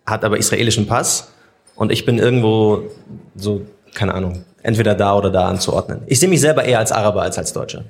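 A man's voice: on the low side, fast, gravelly. A young man born in Germany answering interview questions on stage in an easygoing, candid way. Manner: easygoing, laughs often, candid. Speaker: guest.

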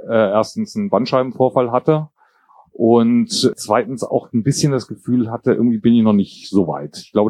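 Man, fifties, bilingual upbringing in German and English, lowish voice, dry recording, thoughtful, searching for words, interview guest. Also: a little mellow, no room sound. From born in Jordan, grew up in England and Germany. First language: German and English, raised bilingual. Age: 50s. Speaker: interview guest, writer and political columnist. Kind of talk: casual, reflective interview conversation.

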